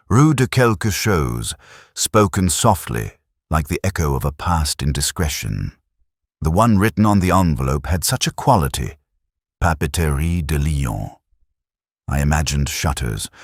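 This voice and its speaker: Very deep-voiced man narrating a mystery. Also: dry recording, studio quality. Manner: measured, reflective, solemn. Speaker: narrator of a detective story. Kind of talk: slow literary first-person mystery narration.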